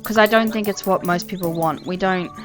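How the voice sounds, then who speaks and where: A woman's voice: medium pitch, fairly quick, over music. An Australian woman in her thirties, chatting casually and earnestly over her gameplay, often trailing off mid-sentence. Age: 30s. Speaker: gaming channel host.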